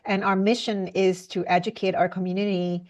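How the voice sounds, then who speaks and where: A woman from Indonesia, speaking earnestly as a podcast guest, often pausing and restarting her sentences. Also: medium pitch, little pitch movement, a little mellow, muffled recording. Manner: earnest, halting, with frequent fillers and restarts. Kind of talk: conversational interview.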